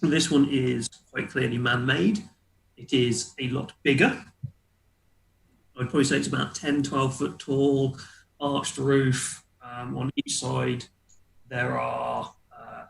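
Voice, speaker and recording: A man's voice: mid-range voice, steady tone, unhurried, vocal fry. A man acting as game master, casually improvising narration and descriptions for a tabletop roleplaying game. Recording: roomy echo, faint background noise.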